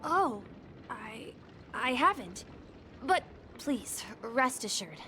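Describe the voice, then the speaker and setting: A woman's voice: fairly high pitch, very animated, drawn-out words, bright, forceful tone, a little hiss or hum, heavily acted. A woman voicing a polite, eager, innocent young boy bellboy in a video game, earnest and slightly hesitant. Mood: reassuring, helpful.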